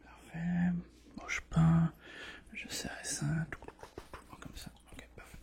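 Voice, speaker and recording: A man whispers gently and reassuringly, playing a doctor in an ASMR roleplay, with a breathy voice and softly repeated words. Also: monotone, slow. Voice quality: breathy whisper mixed with soft, creaky low voice.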